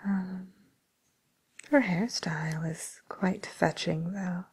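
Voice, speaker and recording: Breathy, whispering matchmaker woman acted out. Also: fairly low pitch, fairly even intonation, exaggerated voice. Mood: tactful, gently amused. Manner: hesitant, gently diplomatic, trailing pauses. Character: polite, tactful matchmaker woman at a villains' dating service. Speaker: ASMR role-play performer. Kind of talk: soft whispered ASMR role play.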